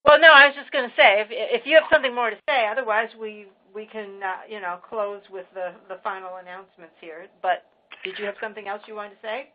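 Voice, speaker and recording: A woman moderates a webinar in an informal, conversational way. Her voice sits at an average pitch, and the sound is somewhat dull and low in fidelity. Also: steady tone, quick pace, slight background noise.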